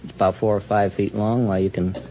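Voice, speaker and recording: A man's voice: on the low side, level tone, brisk, slight background noise. A man answering plainly and hesitantly as a contestant on a muffled 1950 radio quiz broadcast. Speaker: quiz show contestant, glassblower.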